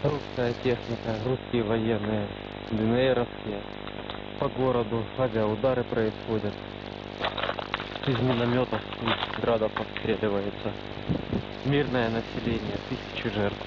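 A man's voice: lowish voice, steady tone, a little slow. A man giving a spoken eyewitness account as a local resident. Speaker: local witness reporting on his city. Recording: dull shortwave relay, very loud noise.

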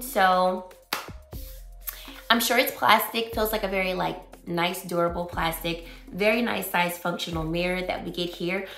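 Upbeat woman, slightly raspy, roomy sound. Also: lowish voice, fairly bright tone. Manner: chatty and upbeat, detailed product talk. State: slightly raspy from losing her voice. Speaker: beauty vlogger. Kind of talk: casual makeup review. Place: small home room with shelves. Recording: roomy echo.

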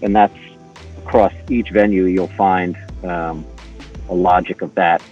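A middle-aged man giving an informal, halting technical walkthrough as a podcast guest, step by step. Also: sits low, fairly even intonation, muffled recording, faint music behind. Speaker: podcast guest, intercom engineer.

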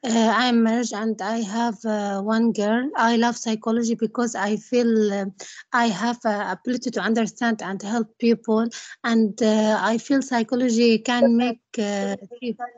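A woman's voice: a little high, steady tone, a little mellow, no room sound, dull audio. Hesitant young woman on a video call. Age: 20s. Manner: hesitant, halting English. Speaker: student.

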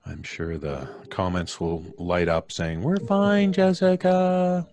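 A middle-aged man with a deep, low voice hosts a casual live stream. He is jovial and halting, often pausing mid-thought as he introduces people. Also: flat delivery, a little mellow. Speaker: podcast host. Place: home studio, video call. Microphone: studio mic on boom arm.

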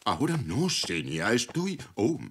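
An actor voicing a fussy, pompous grown-up zebra uncle in a dubbed cartoon. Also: male voice, medium pitch, sing-song, a little slow, fairly bright tone, slight background noise. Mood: indignant. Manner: pompous, indignant.

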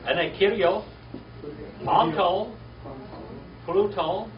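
A man's voice: high voice, drawn-out words, over music. An older man teaching a Bible class from a podium in a wood-panelled classroom, drilling Greek words aloud and often checking in with "all right?". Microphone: podium mic from far camera. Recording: roomy, distant, dull, noisy classroom video.

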